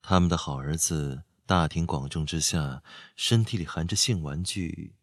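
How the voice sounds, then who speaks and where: Radio drama role: wealthy young gentleman, very low voice, cool, detached, faintly mocking. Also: moderate pace, dry recording, studio quality. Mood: cold mocking. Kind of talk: Chinese radio drama acting.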